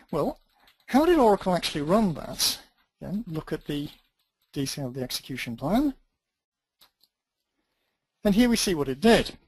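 A man's voice: fairly high pitch, no echo, low-quality recording. A man teaching a database tutorial, thinking aloud as he types through a live demo with dry, self-deprecating asides. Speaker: database instructor.

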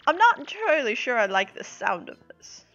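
A grand female spirit for a video game speaks in haughty, theatrical swoops. The voice is high and indignant, on a slightly dull recording. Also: very animated, fairly quick, fairly bright tone, faint music behind. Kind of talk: video game character dub. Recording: dull lo-fi voice track.

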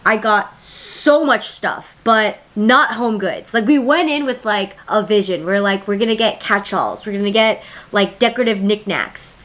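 A woman's voice: medium pitch, normal speed, a bit bright. A woman in her twenties talking casually to camera in a home vlog, upbeat and chatty with lots of filler words. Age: 20s. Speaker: vlogger. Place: small home studio room indoors.

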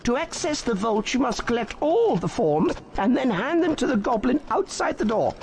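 A woman's voice: on the high side, big pitch swings, normal speed, darkish tone, a little hiss or hum. A woman playing an officious goblin bank clerk in a video game, formally giving instructions. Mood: officious welcome. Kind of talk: video game character acting.

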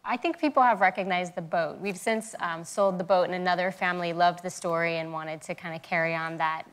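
A woman with a fairly low voice tells family stories as a guest on a TV studio couch. She recounts her anecdotes with lively, chatty energy and speaks into a clip-on mic. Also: brisk. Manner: storytelling, animated anecdotes. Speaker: guest, mother of five. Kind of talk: casual talk show interview.

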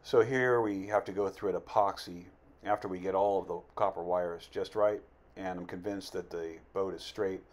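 A man in his fifties giving a casual, plain-spoken, unhurried voice-over as an amateur builder narrating his project. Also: deep voice, fairly even intonation. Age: 50s.

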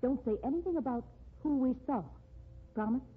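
An actress playing a well-off, determined society woman with a brisk, concerned manner in a 1950s radio drama. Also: sits high, breathy edge. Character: insistent well-off society woman, successful writer. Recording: tinny, noisy 1950s radio recording.

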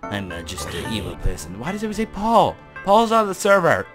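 A young man giving casual, rambling commentary over his own gameplay, joking at himself and breaking into laughing shouts. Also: mid-range voice, wildly swooping pitch, moderate pace, music in the background. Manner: rambling, self-mocking, bursts into laughing shouts. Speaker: gaming YouTuber.

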